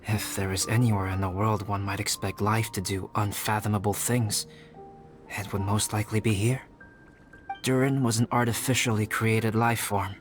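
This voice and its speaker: A man playing a calm, scholarly young alchemist in a video game, speaking formally and with measured care, with music behind him. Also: lowish voice, natural voice. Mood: composed, intrigued.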